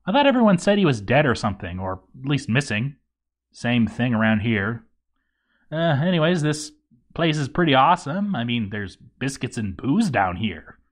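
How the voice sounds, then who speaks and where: A man's voice: medium pitch, sing-song, moderate pace. A man voicing a grouchy, boozy zombie dwarf overseer in a game journal story, stammering and exasperated. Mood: rambling, grumbling. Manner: stammering, exasperated, hammy delivery. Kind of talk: dramatic reading of a game journal.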